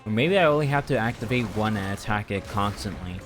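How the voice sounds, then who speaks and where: A man's voice: fairly low pitch, lively intonation, quick pace, a bit bright. A man doing casual live game commentary as a streamer, muttering and exclaiming to himself as he plays. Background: game music.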